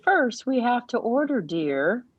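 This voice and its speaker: Man putting on a slow, prim mother frog. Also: extremely high pitch, very animated, narrow, tinny audio, pitched above the performer's own voice, exaggerated voice. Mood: gently scolding. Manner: measured, gently admonishing. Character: Froggy's mother, prim patient adult female frog.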